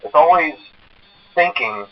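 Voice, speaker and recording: An actor playing a hesitant, falsely cheerful pizzeria employee who coaches a new night guard over a telephone in a game. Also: male voice, a little high, lively intonation, a little slow. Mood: uneasy, advising. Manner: hesitant, falsely upbeat, reassuring. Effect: telephone filter. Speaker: phone caller briefing a new night guard. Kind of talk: casual recorded phone message, game voice acting. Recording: muffled, band-limited phone line.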